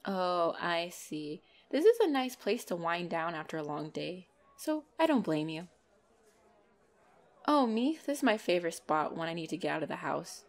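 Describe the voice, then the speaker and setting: A woman's voice: on the low side, big pitch swings. A woman voicing a flirtatious, teasing female vampire in an intimate ASMR roleplay, playful and giggly with a hungry undertone. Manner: playful, giggly, slow and coy, dropping into breathy whispers. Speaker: roleplay voice performer. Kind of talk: ASMR roleplay, intimate one-sided dialogue.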